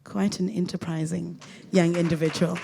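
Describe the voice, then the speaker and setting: A woman's voice: lowish voice, level tone, normal speed, vocal fry, noisy background. A woman moderating a panel, reading formal introductions and posing questions to a speaker.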